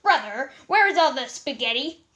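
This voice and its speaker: A woman's voice: sits high, expressive, moderate pace, pushed and bright. A woman voicing a friendly, polite male skeleton who speaks in exclamations, in a story read-aloud. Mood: questioning.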